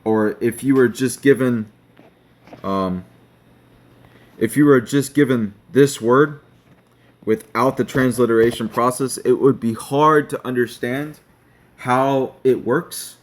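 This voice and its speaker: Slow-talking man teaching on screen. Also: mid-range voice. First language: not Hebrew. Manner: slow, checking in with "okay?". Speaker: online teacher. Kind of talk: screen-share tutorial.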